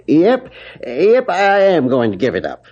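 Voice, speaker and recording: An old gold prospector in a radio western. His talk is rustic, stubborn and halting, with lively rises and falls. The old recording is dull and muffled. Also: a little high, exaggerated voice. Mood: relenting.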